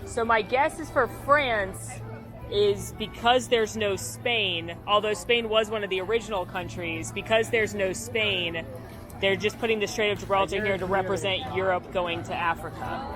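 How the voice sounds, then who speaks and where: A woman's voice: medium pitch, moderate pace, over music, crowd noise. A woman hosting an upbeat theme-park vlog outdoors, talking in a bubbly, chatty, playful way. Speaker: vlog host.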